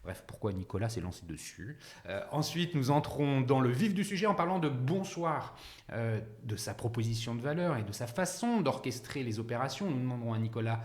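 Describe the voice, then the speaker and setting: A man's voice: medium pitch, animated, brisk, darkish tone. A man hosting an interview podcast, speaking to the listener and guest in an informal way. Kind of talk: podcast introduction and conversational interview.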